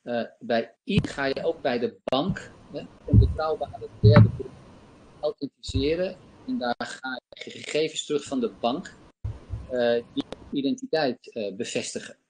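A man's voice: medium pitch, moderate pace, background noise. Hesitant man presenting on a video call. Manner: hesitant, many fillers. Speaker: company presenter. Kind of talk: live software demo and Q&A. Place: home office, indoors. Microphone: laptop webcam on video call.

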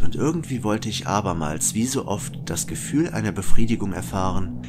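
A man's voice: lowish voice, natural voice. A man playing a brooding, conflicted killer who narrates introspectively in an audio drama. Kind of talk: German audio drama acting and first-person narration.

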